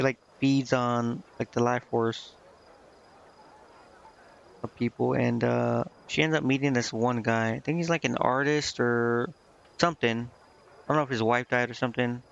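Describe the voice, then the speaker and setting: A man's voice: mid-range voice, steady tone, measured pace, pauses often, fairly bright tone. A man giving casual game stream commentary in a hesitant, vague, meandering way. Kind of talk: casual rambling game stream commentary, reading dialogue aloud. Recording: dull lo-fi mic with clear background noise.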